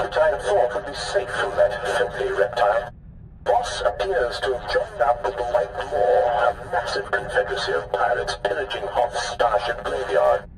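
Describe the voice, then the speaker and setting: A man's voice: mid-range voice, gravelly. A roomy, distant holo-call voice with faint noise: a haughty male alien aristocrat from a game cutscene. He is formal, clipped and imperious, with intonation that swings widely. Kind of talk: video game cutscene acting.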